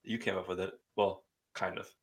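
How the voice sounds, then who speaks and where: A man's voice: deep voice, level tone, normal speed, vocal fry, breathy edge. A man chatting casually as a podcast co-host. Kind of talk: casual chat.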